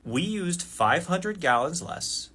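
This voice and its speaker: A man's voice: on the high side, animated, slow, bright, forceful tone, breathy edge. A man on a personal rant, speaking in an exasperated, incredulous and sarcastic way.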